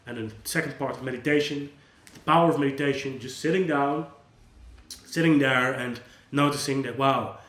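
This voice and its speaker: A man's voice: medium pitch, moderate pace. Earnest Dutch man in an echoey room. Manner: earnest, gentle, conversational with restarts. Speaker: psychologist, channel host. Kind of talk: unscripted talk to camera.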